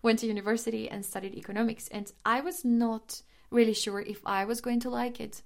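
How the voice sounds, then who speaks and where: A woman's voice: a little high, steady tone, a little fast, vocal fry, darkish tone. Colombian-born woman telling her life story. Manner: chatty, frequent restarts and fillers, laughs while telling. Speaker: podcast host. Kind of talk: personal storytelling monologue.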